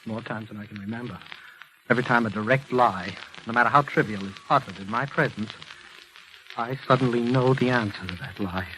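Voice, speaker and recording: Haunted, tormented male patient, played by a man, halting and intense, vintage radio melodrama, noisy old recording. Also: mid-range voice, bright, forceful tone, natural voice. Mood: haunted, brooding. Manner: intense, halting, agonized delivery. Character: haunted, anguished man cursed to speak the truth. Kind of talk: 1940s radio acting.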